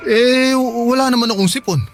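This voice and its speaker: A man's voice: very high-pitched, brisk, over loud music. A man acting a tired but reassuring father caring for his sick child in a radio drama. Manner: reassuring, weary, plain-spoken.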